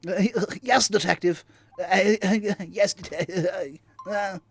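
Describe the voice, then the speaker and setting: A man's voice: high voice, fairly even intonation, takes it slowly, gravelly, exaggerated voice. A man voicing a nervous, starstruck young male superfan in a video game, stammering and hesitant. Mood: flustered.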